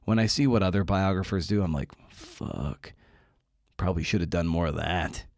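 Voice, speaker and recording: A man's voice: deep voice, normal speed, gravelly, a little mellow, no room sound, studio quality. A middle-aged man hosting a podcast in a casual style, with a wry, self-deprecating manner. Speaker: podcast host. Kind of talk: casual podcast monologue and interview.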